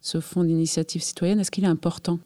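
A woman's voice: fairly low pitch, flat delivery, a little fast, mellow. A woman interviewer asking short, direct questions, heard from farther from the mic.

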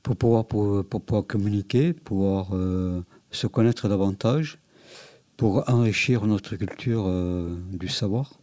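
A man answering an interview question, speaking haltingly and repeating his words. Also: on the low side, mellow, no room sound. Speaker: committee member.

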